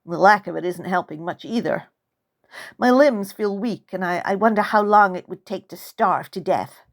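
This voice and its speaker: A woman author narrating a first-person story in character as a child, with expressive, dramatic storytelling. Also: medium pitch, normal speed. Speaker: author reading own novel. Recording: music under the voice.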